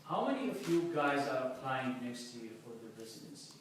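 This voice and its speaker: A man's voice: mid-range voice, sing-song, a little fast. A man hosting a question and answer session with an audience, upbeat, recorded at a distance in a very echoey room. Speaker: host, moderator. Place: echoing conference room with an audience. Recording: very echoey room, distant mic, faint noise.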